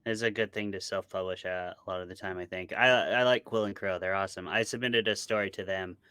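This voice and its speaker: Bright, clear-voiced man chatting casually. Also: deep voice, fairly even intonation, fast, no room sound. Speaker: podcast co-host and author being interviewed. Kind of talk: casual interview chat.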